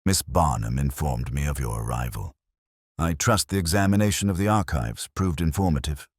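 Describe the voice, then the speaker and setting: Storybook archive official, read by a man: guarded, polite, careful, formal, very low-pitched, close dry sound. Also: normal speed, breathy edge, dry recording. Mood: courteous. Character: guarded, courteous male senior records official. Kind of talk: audiobook character reading.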